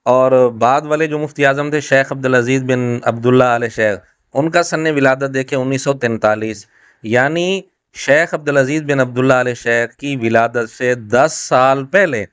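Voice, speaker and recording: A man's voice: mid-range voice, smooth, dry recording, clean studio sound. A man giving an explanatory rebuttal as a religious scholar, speaking methodically and emphatically and repeating his points. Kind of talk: explanatory rebuttal commentary to camera.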